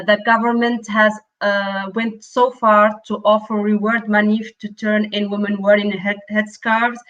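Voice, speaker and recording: A woman hosting a panel discussion, speaking in halting phrases with repeated words as she puts questions to the others. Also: medium pitch, sharp tone. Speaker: podcast host.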